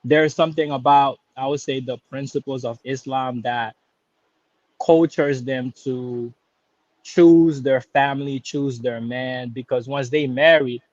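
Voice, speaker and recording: A man's voice: mid-range voice, fairly even intonation, unhurried, no echo, dull audio. A man in his thirties, born in Haiti and raised in Florida, calling in to a live stream and talking casually with many restarts and fillers. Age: 30s. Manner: hesitant, many restarts and fillers, laid-back slang. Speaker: caller.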